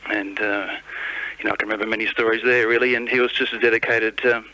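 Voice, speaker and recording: An Australian man reminisces casually as a radio guest in a lowish but bright, forward voice. He is halting and understated, and the sound is slightly dull and band-limited. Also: little pitch movement, dry recording. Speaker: guest, former colleague.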